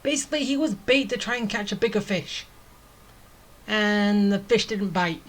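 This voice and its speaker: A woman's voice: mid-range voice, moderate pace, slight background noise. A woman giving casual playthrough commentary as a game streamer, reacting in short informal remarks.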